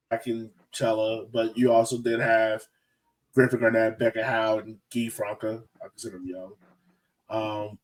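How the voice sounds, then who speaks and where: A man co-hosting a soccer fan podcast, chatting casually in a playful, animated, slangy way. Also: medium pitch, recorded from a distance, rough recording. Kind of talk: casual sports chat.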